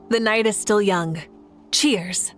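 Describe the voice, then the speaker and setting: A woman's voice: medium pitch, a bit bright. A woman voicing a confident, proud female war leader with an assured, commanding manner in a video game. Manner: assured and commanding, laughs easily.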